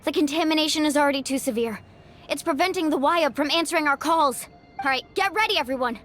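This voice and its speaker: A woman's voice: high-pitched, fast talker, fairly bright tone, soft background music. A woman playing a confident, supportive young warrior in an anime-style video game, speaking with assured encouragement. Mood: resolute.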